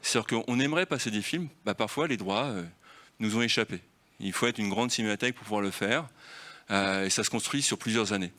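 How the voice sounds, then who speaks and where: A man's voice: on the low side, brisk, sharp tone. A man leading a festival round table on film, talking freely and explaining through rhetorical questions.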